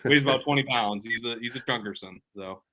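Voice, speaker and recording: Bright, rambling man telling stories. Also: mid-range voice, fairly quick. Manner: rambling storyteller, laughs often. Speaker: podcast co-host. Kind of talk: casual chat.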